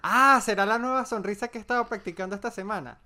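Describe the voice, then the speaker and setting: Young venezuelan guy cohosting a podcast, deadpan and goofy. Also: sits high, quick pace. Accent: Venezuelan Spanish. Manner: deadpan, playful joking. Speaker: podcast co-host. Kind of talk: casual comedic chat.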